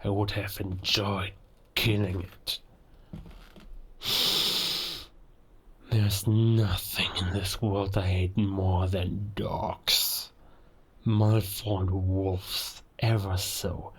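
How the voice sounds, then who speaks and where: A man playing a cold, murderous werewolf spy in an audio roleplay, speaking deliberately with long pauses and quiet menace. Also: lowish voice, vocal fry, breathy edge, exaggerated voice. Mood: contemptuous, then soft menace. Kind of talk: cinematic audio roleplay monologue.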